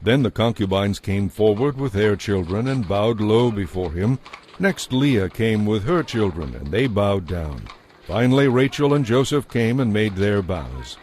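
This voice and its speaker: A man narrating a dramatized audio Bible in a measured, scripted storytelling style. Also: on the low side, animated, a little mellow, slight background noise.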